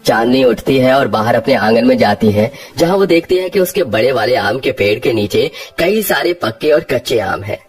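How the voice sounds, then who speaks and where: Male storyteller over soft music. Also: medium pitch, animated, normal speed, few pauses, breathy edge. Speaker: narrator. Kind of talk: scripted children's story narration.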